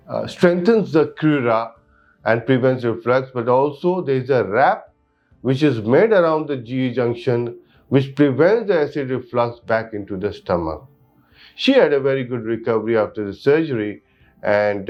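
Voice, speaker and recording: A man with an Indian accent explaining a patient case as a surgeon, in a formal, clinical manner. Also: mid-range voice. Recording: dull audio under background music.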